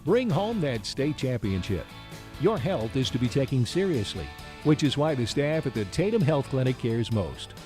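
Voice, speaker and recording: Male, commercial announcer, scripted sponsor read, mid pitch, lively intonation, faint guitar backing. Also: normal speed. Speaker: radio ad announcer. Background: faint guitar music.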